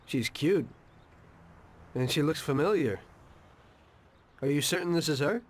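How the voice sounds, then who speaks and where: A man's voice: on the high side, unhurried. A man playing a reluctant young mobster in a video game, loyal but troubled, with an understated, wry, hesitant delivery. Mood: uneasy. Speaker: mobster protagonist, former taxi driver.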